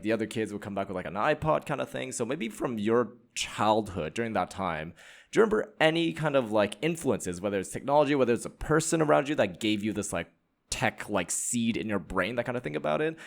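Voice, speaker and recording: Fast-talking low-voiced podcast host man. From Canada. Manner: rambling, heavy use of "like". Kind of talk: casual interview chat.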